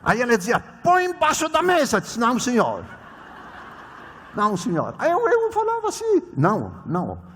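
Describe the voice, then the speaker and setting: An older male pastor, not a native Portuguese speaker, preaching a sermon with a personal story, swinging between loud proclamation and quiet storytelling. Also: very high-pitched, huge pitch swings, moderate pace, a little hiss or hum. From immigrant to Brazil. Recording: reverberant hall.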